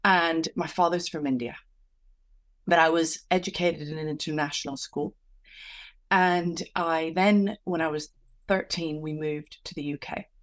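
A woman's voice: low-pitched, steady tone, a little slow. A woman coach and author, born in Venezuela, giving reflective interview answers with frequent restarts. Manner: reflective, with many restarts and fillers.

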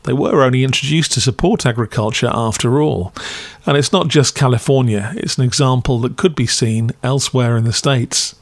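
A man's voice: medium pitch, normal speed, no echo. A man narrating a scripted review as a podcast host, with a wry, critical, dry delivery.

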